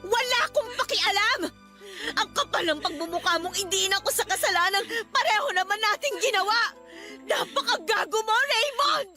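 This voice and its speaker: High, indignant younger sister. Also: female voice, moderate pace, sharp tone, faint music behind. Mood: angry, pleading. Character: defiant, pleading younger sister caught in affair. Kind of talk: Tagalog radio drama acting.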